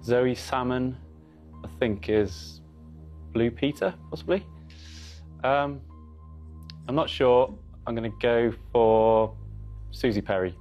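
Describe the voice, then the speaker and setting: A man's voice: mid-range voice, slow pace, long pauses, darkish tone, muffled recording, faint music behind. Hesitant man in his thirties. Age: 30s. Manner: hesitant, thinking aloud with ums. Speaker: quiz contestant. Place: television studio.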